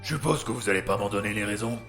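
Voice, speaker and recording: A man acts out a jaded, sardonic doctor for an audio drama. His low voice is gravelly and forceful, full of crude slang. The sound is roomy. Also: racing, over music, close to an everyday voice. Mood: weary, blunt. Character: bitter, worn-out, foul-mouthed male doctor with superpowers. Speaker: voice actor.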